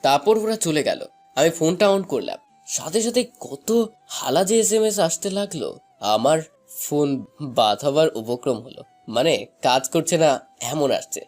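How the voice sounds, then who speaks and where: A young man narrating a scripted first-person love story in an expressive, dramatized way. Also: a little high, a little slow. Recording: music bed under the voice. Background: background music.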